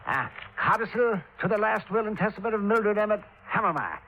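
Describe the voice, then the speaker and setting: An actor playing a cantankerous, folksy old man, crotchety and excitable, in a 1950s radio drama. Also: sits high, fairly quick, full character voice. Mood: fussy reading. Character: cantankerous, folksy old man, jilted suitor, gleefully spiteful. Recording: vintage 1950s radio, band-limited with hiss.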